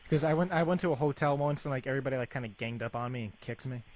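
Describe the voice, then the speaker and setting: A young man making a deadpan prank phone call in a rambling, hesitant, casual way full of filler words. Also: mid-range voice, very fast. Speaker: prank caller. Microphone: telephone. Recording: phone call with faint background noise.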